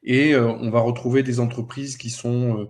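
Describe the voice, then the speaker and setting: A middle-aged man giving an explanatory talk over a video call on a headset, halting and repeating small words as he goes. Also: medium pitch, level tone, darkish tone. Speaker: local economic development director, panellist. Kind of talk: explanatory webinar presentation. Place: indoor room, on a video call.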